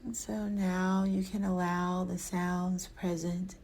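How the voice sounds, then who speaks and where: A woman leading a guided yoga nidra meditation in a soft, soothing, hushed voice that often trails into a breathy whisper. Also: on the low side, flat delivery, slow pace, rough recording.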